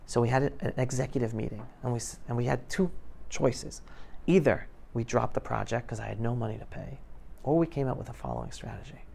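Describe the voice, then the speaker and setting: A man's voice: medium pitch, fairly quick, gravelly, warm tone, a little hiss or hum. A middle-aged man giving an emphatic, colloquial storytelling talk to students, acting out conversations as he goes. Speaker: guest speaker, filmmaker telling his story. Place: school classroom, indoors. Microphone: clip-on lapel mic.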